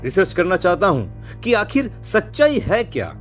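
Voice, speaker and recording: A man playing a headstrong seventeen-year-old son in an animated horror story, exasperated and insistent. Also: sits high, normal speed, faint music behind. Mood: earnest.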